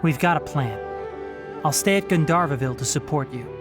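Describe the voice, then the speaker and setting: An earnest young male ranger as a man acts him in a video game. He is sincere and thoughtful, with a gentle, articulate delivery. Soft music sits underneath. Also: a little high, expressive, fairly quick, close to an everyday voice. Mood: earnest, concerned. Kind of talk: scripted video game voice acting. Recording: music behind the voice. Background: faint music.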